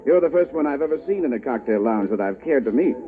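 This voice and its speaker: A man's voice: medium pitch, animated, fast talker, natural voice. A man playing a chuckling, genial rich businessman. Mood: jovial. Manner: chuckling, hesitant, jovial. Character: genial, bumbling wealthy older businessman. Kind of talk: 1940s radio acting. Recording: tinny lo-fi old radio broadcast.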